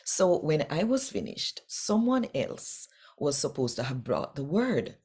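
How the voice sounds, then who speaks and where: A woman from Jamaica with a Jamaican accent, telling a faith testimony in an earnest, measured way. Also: low voice, very animated, a little mellow, breathy edge. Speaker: Bible reader sharing testimony. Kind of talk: personal faith testimony to camera.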